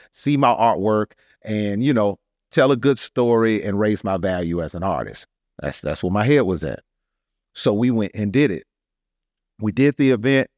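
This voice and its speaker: Low-voiced American man, close and dry. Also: darkish tone, no room sound. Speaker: podcast speaker, artist telling his story. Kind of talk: casual podcast storytelling.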